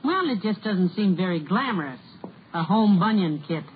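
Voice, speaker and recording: An actress playing a sensible, mild, gently curious wife in an old radio comedy, on a noisy, muffled recording. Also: female voice, medium pitch, lively intonation, normal speed, lightly acted. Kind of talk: 1940s-50s radio comedy acting.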